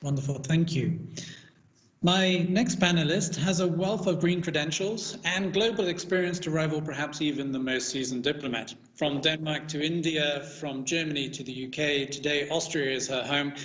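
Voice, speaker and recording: A man moderating a panel, reading prepared introductions in a formal, measured announcing way with some flourish. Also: on the high side, steady tone, a bit bright. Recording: echoey room, dull video-call audio.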